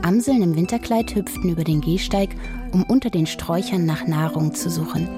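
A woman's voice: fairly low pitch, a little fast, over music. A woman reading scripted narration as the storyteller of a children's audio story.

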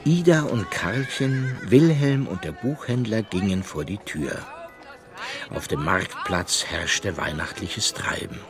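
A man tells a children's story as the narrator of a radio play. His voice sits on the low side and moves up and down with plenty of animation, in scripted storytelling style. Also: lively intonation, takes it slowly, music in the background.

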